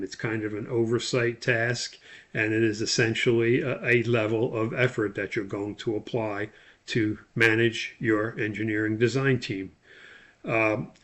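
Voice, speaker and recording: A man instructor explaining material in a loosely scripted lecture, plain-spoken with opinionated asides. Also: medium pitch.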